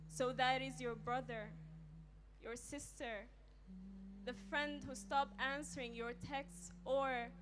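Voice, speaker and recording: Young woman doing spoken word on a stage, drawn out, lots of pauses, high-ish voice. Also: noisy background. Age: young adult. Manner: slow, emphatic, dramatic pauses. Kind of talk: spoken word poetry performance. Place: stage performance.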